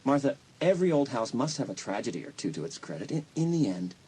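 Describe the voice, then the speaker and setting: An actor playing a concerned, reassuring school counselor in an audio drama, speaking earnestly and soothingly with some hesitation. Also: male voice, mid-range voice, big pitch swings, quick pace, warm tone, breathy edge.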